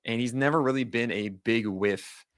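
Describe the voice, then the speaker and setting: A man co-hosting a pitching podcast, talking baseball in animated, joking casual chat with plenty of fillers. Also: normal speed, dry recording, studio quality.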